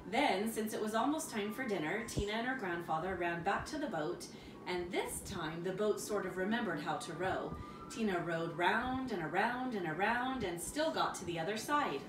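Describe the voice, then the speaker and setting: A woman reading a children's story aloud in an expressive, rhythmic storytelling manner. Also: on the high side. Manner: expressive, rhythmic with repetition and sound words. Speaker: storybook reader. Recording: roomy, distant mic, music behind. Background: music.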